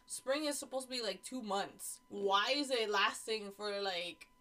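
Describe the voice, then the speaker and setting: A woman's voice: mid-range voice, a little slow, over a noisy crowd. A young woman from Canada co-hosting a podcast, chatting casually, giggling often and saying "like" a lot. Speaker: podcast co-host. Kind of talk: casual chat.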